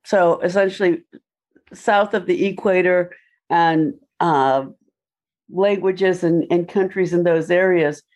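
Woman panelist, lower pitch, measured and explanatory. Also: steady tone, darkish tone. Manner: thoughtful, explanatory. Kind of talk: casual panel discussion.

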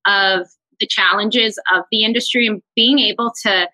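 A woman from New York City talks through her career on a video call panel. Her voice is very bright and her manner is loose and conversational, with frequent fillers. The sound is dry and close. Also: mid-range voice, fairly even intonation, moderate pace, no room sound, low-quality recording. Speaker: panel guest.

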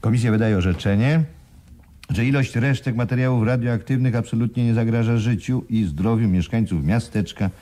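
A man acting in a Polish radio play as an uneasy businessman, speaking in a formal, measured, articulate way. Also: mid-range voice, warm tone, close to an everyday voice. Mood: formal, official. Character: uneasy businessman reading an expert report aloud.

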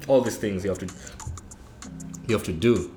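A man's voice: on the low side, moderate pace, mellow. A young man from Kenya with a Nairobi accent, chatting casually and laughing often. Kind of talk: casual chat. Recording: echoey room with faint noise.

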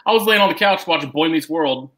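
A man's voice: fairly high pitch, lively intonation, quick pace, fairly bright tone. A man in his twenties from the United States, co-hosting a casual podcast and telling joking, rambling stories about his snakes. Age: 20s. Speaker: podcast co-host, snake keeper.

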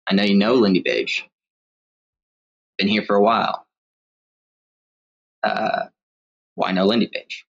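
A man's voice: fairly low pitch, a little slow, vocal fry, pauses often. A man leaving an informal recorded message as a viewer, in dull lo-fi sound.

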